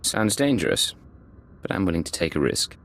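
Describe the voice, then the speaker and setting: An actor playing a cool, self-assured secret agent in a video game, clipped and composed. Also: male voice, sits low, sing-song, normal speed. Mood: resolute.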